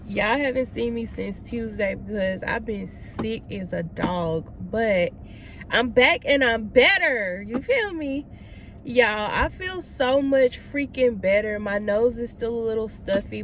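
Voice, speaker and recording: A woman's voice: medium pitch, fairly bright tone, a little hiss or hum. A woman in her twenties, from Texas, talking casually to viewers in a vlog, filmed on a phone in her car. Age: 20s. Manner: chatty, addresses viewers as y'all. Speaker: vlogger. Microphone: phone held at arm's length.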